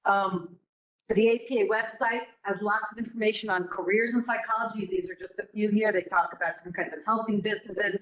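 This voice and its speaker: Woman, middle-aged, program director, classroom talk, rhetorical questions, no mic, medium pitch. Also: fairly even intonation, a little fast, a bit bright, muffled recording. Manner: conversational, encouraging, with rhetorical questions and fillers. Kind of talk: informal presentation to students. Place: classroom with a live audience. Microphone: no mic on, room recording.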